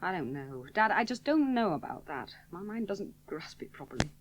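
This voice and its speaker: A woman's voice: medium pitch, sing-song, normal speed, background noise, lightly acted. An actress playing a modest, self-doubting young woman aviator in a radio play, speaking hesitantly. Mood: doubtful. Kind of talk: 1970s radio drama acting.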